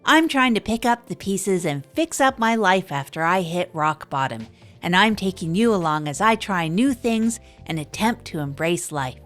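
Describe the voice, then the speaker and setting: A woman's voice: fairly low pitch, lively intonation, normal speed, fairly bright tone, soft background music. A woman playing a hapless, earnest evening radio host in an improvised comedy audio drama, rambling and correcting herself as she goes. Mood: upbeat, rambling.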